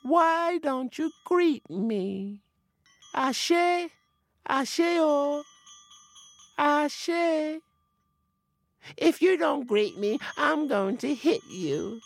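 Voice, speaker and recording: A storyteller voicing a sweet, gentle invisible forest spirit in a folk tale, with pleading, repeated, drawn-out calls. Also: high voice, animated, creaky, voice pushed up, heavily acted. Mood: gentle, curious.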